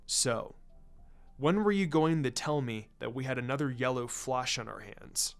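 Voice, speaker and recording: A man acts out a laid-back adult ninja teacher from an anime-style story. He is cool and understated, quietly amused and never in a hurry. Music plays faintly underneath. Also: medium pitch, normal speed, smooth, voice pushed down, natural voice. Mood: cool, serious. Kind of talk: fan fiction story reading.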